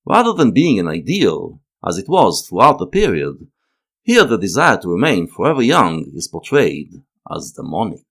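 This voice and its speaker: A man narrating a scripted analytical video essay in a measured, lecturing manner, leaning on key words. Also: deep voice, wildly swooping pitch, vocal fry, a bit bright.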